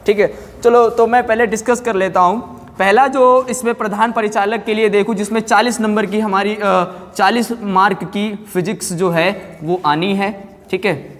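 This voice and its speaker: A man speaks as a teacher walking students through exam material. His voice sits high for a man, and he explains step by step with repeated check-words and English terms mixed in. The sound carries a long, hall-like reverb. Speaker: physics teacher. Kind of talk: online exam-prep class talk.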